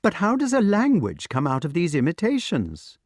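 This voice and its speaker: A man's voice: high voice, huge pitch swings, normal speed, dry recording, clean studio sound, pitched above the performer's own voice, full character voice. A man voicing a polite, curious young boy who is a wizard's pupil in an audiobook reading. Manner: polite, inquisitive.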